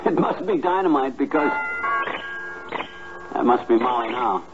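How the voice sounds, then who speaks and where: An actor playing a folksy, blustering, boastful middle-aged husband with slangy diction in 1950s radio comedy style. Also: male voice, medium pitch, expressive, a little slow, close to an everyday voice. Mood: chatty. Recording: tinny, noisy old radio recording.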